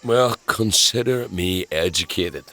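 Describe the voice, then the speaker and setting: A man playing a troubled, wry scavenger in a dark fantasy audio drama, gravelly and husky, with a hesitant, halting delivery. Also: low voice, full character voice, low-quality recording. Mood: dry, teasing. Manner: hesitant, halting, dryly sarcastic. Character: gruff, troubled young male scavenger with inherited powers, wry.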